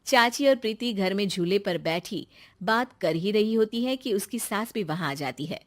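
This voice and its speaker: A woman's voice: mid-range voice, lively intonation, normal speed. A woman narrating a scripted moral family story.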